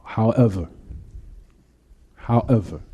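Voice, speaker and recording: A man's voice: on the low side, slow, warm tone, husky, lo-fi sound. An American man giving an informal religious lesson, speaking emphatically with repeated phrases and colloquial turns. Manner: emphatic, repetitive, colloquial phrasing, checks listeners understand.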